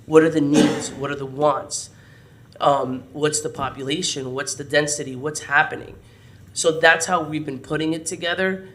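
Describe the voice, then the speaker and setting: A man, a parks department director, explains programs at a city meeting while hoarse and losing his voice. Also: a little high, moderate pace, a little hiss or hum. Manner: explanatory, steady briefing. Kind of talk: briefing at a public meeting. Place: city council chamber.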